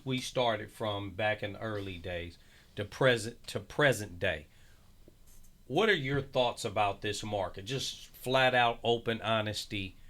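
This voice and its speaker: Bright-voiced male host, emphatic. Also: fairly low pitch. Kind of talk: casual interview.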